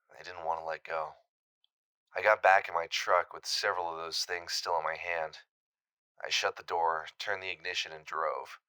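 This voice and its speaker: An actor playing a shaken, haunted man in an audio drama, recounting a terrifying encounter in a halting, hesitant voicemail monologue. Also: sits low, pushed and bright, clean tone, dry recording, studio quality. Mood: tense, urgent.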